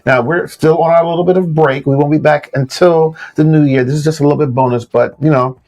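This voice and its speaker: A man's voice: on the high side, steady tone, a little fast, a little mellow. A man hosting a casual recap chat show, talking with his co-hosts and addressing viewers. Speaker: podcast host signing off the show.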